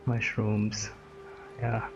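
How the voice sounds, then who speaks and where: A woman's voice: a bass voice, completely flat delivery, drawn-out words, lo-fi sound, music in the background. A woman gamer making brief, casual, murmured comments as she reacts to a game. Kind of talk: casual low-key gameplay commentary.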